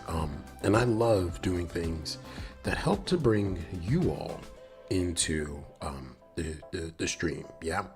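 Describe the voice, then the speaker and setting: A man hosting a casual live stream, slightly nasal, talking enthusiastically and directly to viewers in a rambling way that repeats phrases. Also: low voice. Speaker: live stream host, developer advocate.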